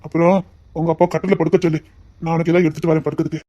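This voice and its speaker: A woman's voice: low-pitched, flat delivery, racing, lo-fi sound. A woman playing a teasing young lover with a lilt. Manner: teasing, then softly tender. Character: young man courting his sweetheart, teasing. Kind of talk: amateur romantic drama.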